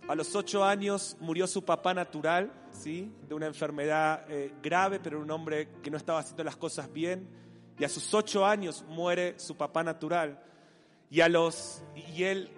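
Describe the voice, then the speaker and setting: Rioplatense male pastor, animated and playful sermon delivery, high-pitched, church hall with long reverb. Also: moderate pace, faint music behind. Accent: Rioplatense Spanish. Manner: animated, acts out voices for a playful imagined dialogue.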